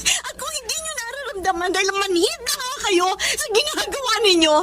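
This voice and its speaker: A woman's voice: extremely high pitch, lively intonation, brisk. A woman with a Filipino accent acting an emotional, accusing daughter in a Tagalog radio drama. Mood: bitter reproach. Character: hurt, angry adult daughter confronting her wayward father.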